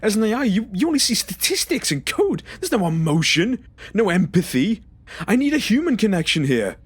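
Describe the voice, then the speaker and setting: A man's voice: sits high, big pitch swings, moderate pace, breathy edge, no echo, heavily acted. A man playing a traumatised, confrontational former stasis patient in an audio drama, speaking emphatically and demanding accountability. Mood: agitated, distressed.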